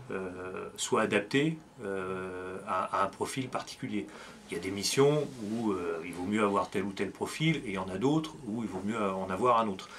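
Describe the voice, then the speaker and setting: A man explaining a position in an interview, in a deliberate, halting way. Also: on the low side, fairly bright tone. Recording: faint background noise.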